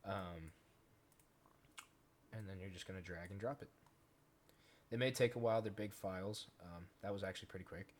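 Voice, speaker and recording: A man casually talking through a software tutorial step by step, in an informal way. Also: low-pitched, normal speed, pauses often. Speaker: tutorial host, gaming content creator. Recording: noisy home recording with keyboard clicks.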